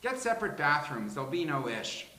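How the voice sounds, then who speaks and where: A bright, swooping voice in a roomy space a society wife in a staged sitcom. She is blunt and flattering, with a high, drawling theatrical delivery. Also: fairly quick. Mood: wry, knowing. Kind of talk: live sitcom table read. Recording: roomy live venue.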